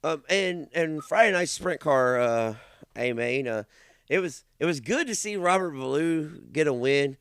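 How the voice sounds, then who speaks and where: A man with a Kentucky accent chatting casually as a podcast host in a folksy, halting manner. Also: on the high side, animated, fairly bright tone, clean tone, no echo, clean studio sound. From Kentucky, United States. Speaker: podcast host, race announcer. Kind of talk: casual chat.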